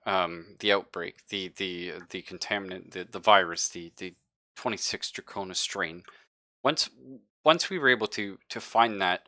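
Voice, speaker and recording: A man's voice: on the low side, bright, forceful tone, no echo, studio quality. Man playing a nervous, stammering young spaceship survivor. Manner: halting, stammering.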